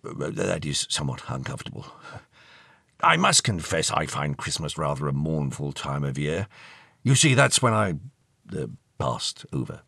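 Male reader as elderly ghost gentleman, low pitch, pompous, mournful, courtly, old-fashioned manners, storybook character, dry sound. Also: sing-song, normal speed, gravelly, breathy edge, no room sound, heavily acted.

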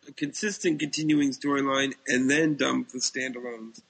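Male co-host, medium pitch, creaky voice, low-key skeptical delivery in casual conversation. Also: fairly even intonation, moderate pace, fairly bright tone, low-quality recording. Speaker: podcast co-host. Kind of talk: casual chat about sci-fi TV shows.

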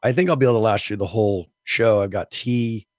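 A man's voice: on the low side, fast, darkish tone, dry recording, highs cut off. A man co-hosting a gaming podcast, his voice scratchy from a cold, explaining at length in casual chat. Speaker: podcast co-host.

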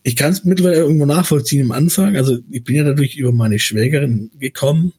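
A man's voice: mid-range voice, fast, darkish tone, breathy edge, no room sound. A man with a light Swabian accent hosting a podcast in a rambling, chatty way with many hesitations. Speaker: podcast host. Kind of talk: casual chat.

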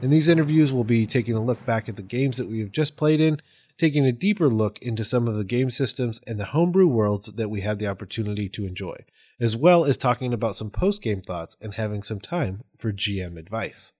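A man's voice: medium pitch, animated, brisk, a little mellow, breathy edge. A man hosting a casual podcast interview, talking in an effusive, somewhat rambling way.

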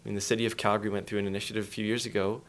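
A man's voice: fairly low pitch, little pitch movement, rapid-fire. A man speaking as an interview guest, explaining things in an emphatic, storytelling way with acted-out quotes.

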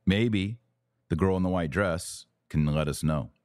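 A man's voice: low voice, unhurried, a little mellow, dry recording, studio quality. A man hosting a podcast, giving live commentary and interviewing. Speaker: podcast host.

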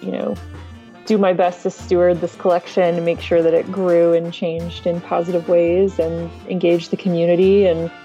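A woman speaking as an interview guest in a casual, thoughtful, sincere and measured way. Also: lowish voice, little pitch movement, dark tone. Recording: dull lo-fi link, faint music.

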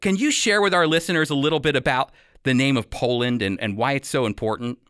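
A man's voice: medium pitch, fast talker, a bit bright, clean tone, no echo, clean studio sound. An American man hosting and interviewing on a radio program, speaking as a presenter. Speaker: radio show host.

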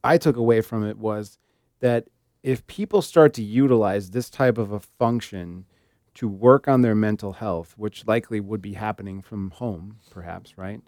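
A man's voice: on the low side, moderate pace, darkish tone, dry recording, clean studio sound. A middle-aged man co-hosting a casual podcast, with a wry, joking way of talking. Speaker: podcast co-host.

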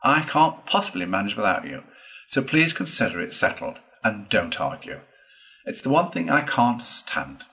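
A man voicing a boastful, excitable gentleman toad in a storybook, declaiming in a pompous, gushing way. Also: mid-range voice, measured pace, voice pushed up, poor audio. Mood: coaxing, insistent.

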